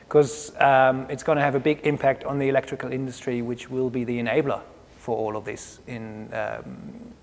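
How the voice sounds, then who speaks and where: A man's voice: mid-range voice, darkish tone. A man from Germany with a German accent, a product specialist giving a casual, self-deprecating talk at a live industry presentation, with fillers like "you know". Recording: long hall reverb.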